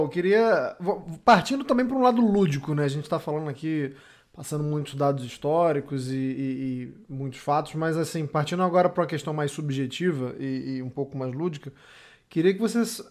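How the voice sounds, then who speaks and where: A man's voice: fairly high pitch, a little mellow. A man with a Brazilian accent hosting a conversational podcast, hesitant and often searching for his words. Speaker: podcast host. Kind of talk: conversational discussion, posing a question.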